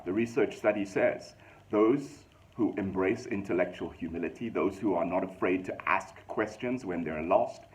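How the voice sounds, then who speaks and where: A man with a Zambian accent shares a reflection with his listeners. His voice sits very low, and his delivery is thoughtful and loose, full of fillers, with slowed-down stretches to drive a point home. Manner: thoughtful, many fillers, slow emphatic stretches. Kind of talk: casual spoken reflection to listeners.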